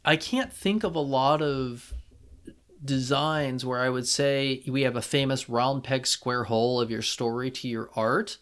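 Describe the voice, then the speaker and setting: A man co-hosting a podcast, talking casually as a fan and thinking aloud in a halting way. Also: mid-range voice, a bit bright. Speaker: podcast co-host.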